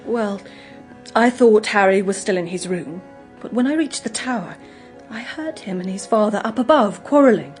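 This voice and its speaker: An actress playing a gentle, guarded young English woman in a radio drama, speaking in an earnest, hesitant, confiding way. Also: medium pitch, lively intonation, moderate pace, faint music behind, lightly acted. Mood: earnest, anxious.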